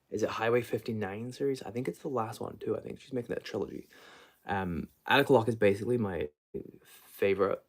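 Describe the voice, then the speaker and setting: Low-voiced man rambling casually about books. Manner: rambling, hesitant, trails off mid-thought. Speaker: book vlogger hosting a livestream. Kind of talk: unscripted casual book chat.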